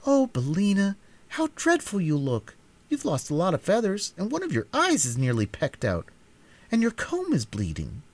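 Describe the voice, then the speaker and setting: A man's voice: high-pitched, huge pitch swings, fairly quick, voice pushed up, heavily acted. A man voicing an earnest, prim little girl in a storybook reading, apt to scold. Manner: reproving, earnest.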